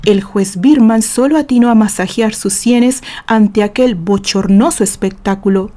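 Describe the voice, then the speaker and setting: A woman with a Mexican accent narrating a dramatic story in measured storytelling. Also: medium pitch, mellow. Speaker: author and narrator.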